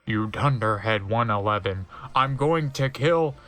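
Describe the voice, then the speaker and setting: A man's voice: lowish voice, animated, a little slow, pushed and bright, pitched below the performer's own voice. A man voicing a sneering, cruel male wizard villain in a comedic fan fiction reading, menacing and theatrical. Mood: scornful.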